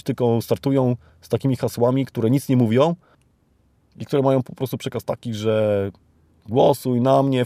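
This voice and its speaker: A man's voice: fairly low pitch, dark tone, dry recording. A man from Poland hosts a podcast, speaking off the cuff in an ironic, rambling commentary full of rhetorical tag questions. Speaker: podcast host. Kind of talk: unscripted spoken commentary, monologue.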